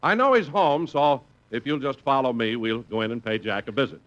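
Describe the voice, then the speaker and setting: A man's voice: mid-range voice, a little fast, a bit bright, slight background noise. A male radio announcer reading a commercial with a hearty salesman delivery, 1950s style. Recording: muffled old radio recording.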